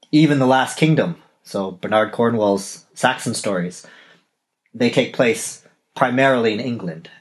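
A Canadian man in his thirties hosting a solo podcast, talking casually and digressing with frequent self-corrections. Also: mid-range voice. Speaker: podcast host and novelist.

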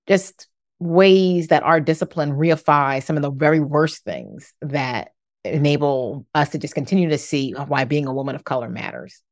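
A woman's voice: low voice, no room sound, clean studio sound. An American woman speaking as a guest professor in a conversational, anecdotal way, with an emphatic manner. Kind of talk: conversational, anecdotal panel talk.

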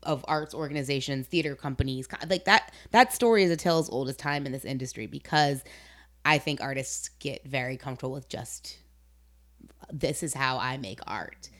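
A woman's voice: a bass voice, moderate pace, fairly bright tone. A woman hosting a casual interview, talking informally and giving her opinions with emphasis. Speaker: interview host.

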